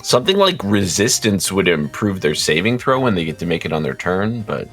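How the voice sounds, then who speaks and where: A man with a low, slightly husky voice runs a tabletop game. His casual narration moves up and down in pitch a lot, with faint music playing behind him. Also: big pitch swings, fairly quick, a bit bright. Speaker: game master.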